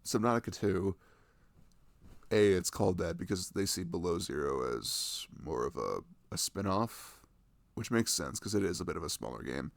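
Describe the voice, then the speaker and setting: Animated young man with a low, creaky voice. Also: dry recording. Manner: enthusiastic, rambling, slangy. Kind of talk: casual unscripted chat about video games.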